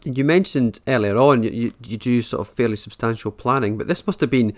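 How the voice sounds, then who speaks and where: Fast-talking, enthusiastic male interviewer. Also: mid-range voice. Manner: effusive, informal, enthusiastic. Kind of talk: casual interview chat.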